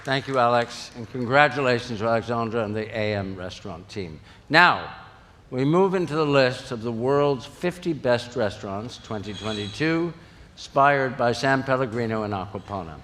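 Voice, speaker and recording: A man's voice: mid-range voice, lively intonation, unhurried, fairly bright tone, a little hiss or hum. A man hosting an awards ceremony, announcing with emphasis and the odd light joke. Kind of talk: live event announcing. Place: large event hall. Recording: reverberant hall.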